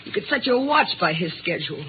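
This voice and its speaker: An actress playing a distraught, bitter, accusing wife in 1950s radio drama, with an overwrought, emphatic delivery. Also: female voice, fairly low pitch, big pitch swings, fast. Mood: resigned bitterness. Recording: tinny, noisy vintage radio recording.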